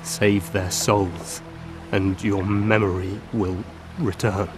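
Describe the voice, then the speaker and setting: An actor playing a mortally wounded elf seer in a video game, haunted and mournful, speaking weakly and haltingly. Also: male voice, on the low side, flat delivery, music in the background. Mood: fading, solemn. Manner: slow, halting, labored. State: weak from fatal wounds, as acted.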